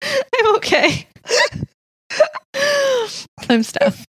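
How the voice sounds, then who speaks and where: A woman's voice: very high voice, huge pitch swings, drawn-out words, dry recording. A woman co-hosting a podcast, bantering casually with a wry, teasing and blunt comic manner. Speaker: podcast co-host.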